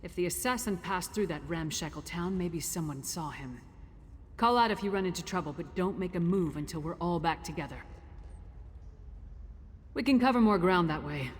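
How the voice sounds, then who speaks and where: A woman's voice: fairly low pitch, close to an everyday voice. A woman performs a bold, seasoned warrior woman for a fantasy video game. She speaks bluntly and with command, confident yet on guard. Long reverb surrounds the voice, with faint ambient noise behind it.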